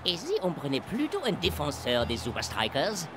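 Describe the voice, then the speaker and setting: A man's voice: fairly high pitch, wildly swooping pitch, moderate pace, over music. A man voicing a questioning, theatrical villain's henchman in a cartoon dub. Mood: scheming, questioning. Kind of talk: animated cartoon dub acting.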